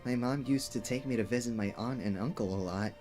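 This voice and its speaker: A man voicing a gentle, lonely young cursed character in an animated fan dub, hesitant and apologetic as he confides. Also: medium pitch, fairly quick, close to an everyday voice. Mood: sad, apologetic. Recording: music playing behind the voice.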